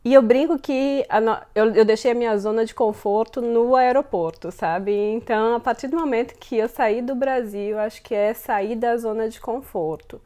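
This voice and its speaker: Brief: Brazilian Portuguese woman, 30s, interview guest, thoughtful, fairly high voice. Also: normal speed, few pauses, dark tone. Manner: thoughtful, frequent fillers and self-repeats. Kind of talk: casual interview answers.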